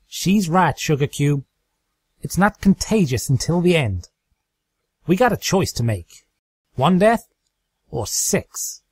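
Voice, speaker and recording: Folksy storybook farm mare, done by a man, grim, resolute, somewhat high and slow. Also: sing-song, slightly rough, dry recording, full character voice. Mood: resigned. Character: grim, plain-spoken country farm pony mare.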